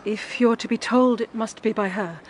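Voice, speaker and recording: Actress as anxious, high-voiced guardian woman. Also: moderate pace, slight background noise, close to an everyday voice. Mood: sorrowful, secretive. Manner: hesitant, pleading, formally polite. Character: anxious, guarded older female guardian keeping a sorrowful secret. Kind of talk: British period audio drama.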